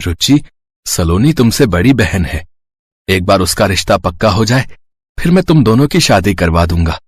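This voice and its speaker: A man's voice: deep voice, big pitch swings, moderate pace, breathy edge, no room sound, full character voice. A man playing a humble, tender elder brother from a poor family in a moral story, with an earnest, emotional delivery. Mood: firm. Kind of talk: dramatised Hindi moral story acting.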